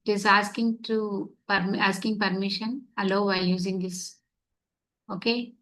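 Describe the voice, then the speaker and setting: A woman's voice: mid-range voice, steady tone, measured pace, fairly bright tone, poor audio. Online class teacher, woman, native Tamil speaker; step-by-step explainer who keeps checking students are following. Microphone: computer mic on video call.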